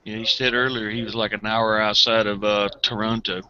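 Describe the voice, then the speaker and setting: A man in a casual online group chat, agreeable, chuckling and thinking out loud. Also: lowish voice, flat delivery, fairly quick, muffled recording, slight background noise. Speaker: chat participant.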